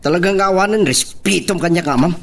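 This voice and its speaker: A man's voice: high-pitched, a little fast. A man playing a harsh, shameless father in an Ilocano audio drama. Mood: furious scolding. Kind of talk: Ilocano radio drama acting.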